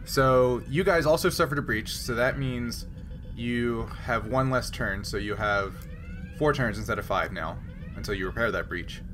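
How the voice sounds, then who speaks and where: A man running a tabletop roleplaying game as game master, explaining rules and thinking aloud in a halting way. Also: mid-range voice. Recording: noisy background.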